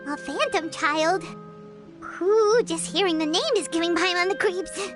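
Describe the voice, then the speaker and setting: A woman voicing a tiny, chatty, excitable fairy-like girl companion in a video game, with a squeaky childlike voice. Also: unhurried, over music. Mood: spooked. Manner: bubbly, refers to self in third person. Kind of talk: video game character acting.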